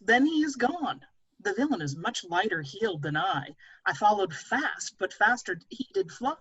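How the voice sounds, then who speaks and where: Muffled call audio carries a woman acting a proud young lover in Shakespeare. The voice is husky, the verse defiant yet weary. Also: medium pitch, fairly bright tone. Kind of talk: Shakespeare table read in verse. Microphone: video call.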